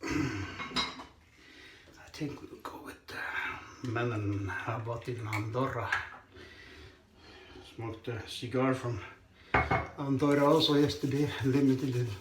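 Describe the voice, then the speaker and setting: Hoarse man in an echoey bathroom. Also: medium pitch, flat delivery. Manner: slow, halting, with frequent throat clearing. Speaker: shaving vlogger. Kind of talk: casual chat while shaving. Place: small tiled bathroom, indoors. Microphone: camera on the counter at arm's length. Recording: echoey tiled bathroom, faint noise.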